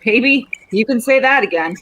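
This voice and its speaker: A woman acts out a stubborn homicide cop in a 1940s radio play. The voice sits fairly high for all its tough, slangy bluster. The delivery is sardonic and unimpressed. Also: expressive, normal speed, fairly bright tone, rough recording. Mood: smug, needling.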